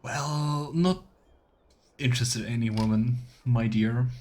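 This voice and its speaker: A man giving casual let's play commentary as a streamer, halting and murmuring to himself as he thinks aloud. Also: medium pitch, a little mellow. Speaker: streamer playing a video game. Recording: clear background noise, keyboard clicks.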